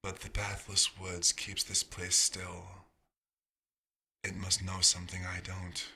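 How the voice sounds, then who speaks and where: A man voicing a centuries-old vampire doctor, wistful and dryly wry, in a soft-spoken ASMR roleplay with a husky, breathy voice and halting, musing pauses. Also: deep voice, completely flat delivery, exaggerated voice.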